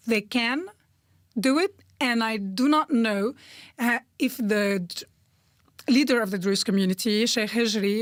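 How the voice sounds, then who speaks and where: A woman, a guest in a news interview, making her points in a measured, deliberate way with frequent pauses. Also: mid-range voice, no echo, clean studio sound. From Syria. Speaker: guest commentator.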